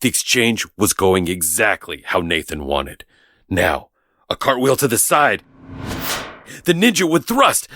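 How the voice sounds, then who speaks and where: A man's voice: lowish voice, very animated, measured pace, sharp tone, dry recording, studio quality. A man narrating a scripted story in a dramatic storytelling style.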